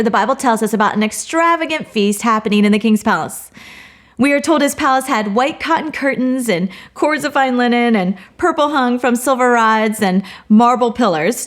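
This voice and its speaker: A woman teaching a Bible study, speaking in an earnest, personal way with touches of light humor. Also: fairly high pitch.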